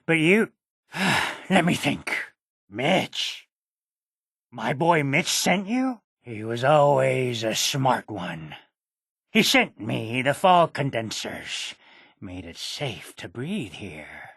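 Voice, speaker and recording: A cantankerous old man from a video game, stubborn and sarcastic. His voice is gravelly and hoarse-edged, and it swings widely in pitch as he bristles with indignation. He takes his time over his words. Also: sing-song, slow, a bit bright, no room sound, clean studio sound, full character voice. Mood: softened, wary. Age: elderly. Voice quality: gravelly, raspy. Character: stubborn, cantankerous old hermit uncle guarding his homestead. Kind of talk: video game character dialogue.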